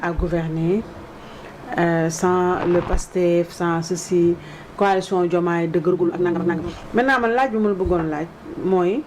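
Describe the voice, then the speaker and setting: A woman with a Senegalese accent hosting a conversational political interview, somewhat halting as she frames her points. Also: fairly low pitch, fairly even intonation, noisy background.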